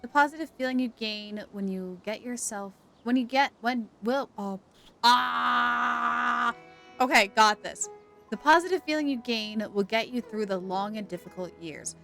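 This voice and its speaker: A woman with a fairly high voice streams a game. She talks casually to viewers in a chatty, exclamatory way. Music plays behind her. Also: unhurried, gravelly, pushed and bright. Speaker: game livestreamer. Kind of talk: casual livestream chat, reacting to game and viewers.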